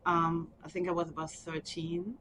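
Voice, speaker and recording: A middle-aged woman from Germany with a German accent, speaking softly and haltingly with small laughs in an oral history interview. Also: lowish voice, little pitch movement, slight background noise, rough recording. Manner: soft, halting, with frequent ums and small laughs.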